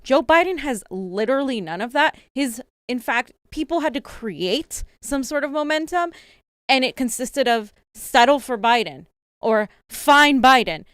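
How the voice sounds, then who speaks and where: A woman's voice: high voice, expressive, a little slow, a bit bright, clear, resonant tone, dry recording, clean studio sound. A woman from Central Florida co-hosting a live political stream, talking casually with lots of filler words. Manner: casual, lots of "like", blunt opinions. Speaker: co-host.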